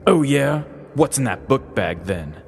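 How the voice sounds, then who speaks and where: A man's voice: mid-range voice, big pitch swings, a little slow, vocal fry, voice pushed up. A man voicing a brash, commanding macho drug raid leader in a comedic dramatized story, with a theatrical bark. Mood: smug, menacing. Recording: long reverb, music underneath.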